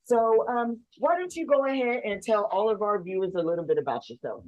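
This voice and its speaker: A woman's voice: mid-range voice, expressive, a little fast, no room sound, dull audio. A woman hosting a TV show, asking friendly, upbeat interview questions with some fillers. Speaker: TV show host.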